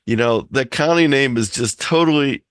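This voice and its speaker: A man from Ohio talks through his points as an informal online presenter. His voice has an average pitch. The sound is close and dry, with little sense of space around it. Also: moderate pace, smooth, no echo. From Ohio, United States. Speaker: presenter of an amateur radio talk. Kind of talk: informal explanatory presentation on a video call. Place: online video meeting.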